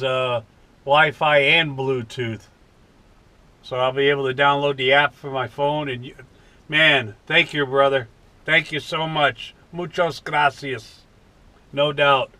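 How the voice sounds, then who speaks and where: An American man in his fifties talking in a folksy, unhurried way in a casual vlog from a truck cab. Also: mid-range voice, pushed and bright, no echo. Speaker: vlogger. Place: inside a parked truck cab.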